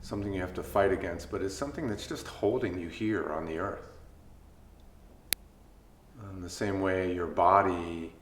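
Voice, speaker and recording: A man leading a guided body scan meditation as a mindfulness instructor, speaking gently with unhurried pauses between phrases. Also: deep voice. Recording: echoey room, faint background noise.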